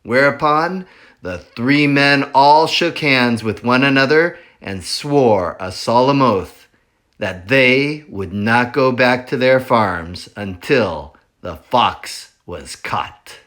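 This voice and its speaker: Slow, expressive man reading a children's book. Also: mid-range voice. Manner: slow, expressive, sing-song storytelling. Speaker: reader at a school library. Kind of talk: children's chapter book read-aloud.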